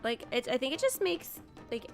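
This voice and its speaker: A woman's voice: high-pitched, big pitch swings, moderate pace, creaky. A young woman doing casual live gameplay commentary, reacting aloud playfully and trailing off, over game music. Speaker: game streamer.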